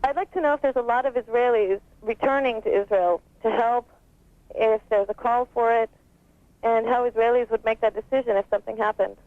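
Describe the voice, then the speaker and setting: A woman's voice: fairly high pitch, steady tone, brisk, no room sound. Woman caller on thin phone line. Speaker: first-time radio caller. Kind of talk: polite call-in question.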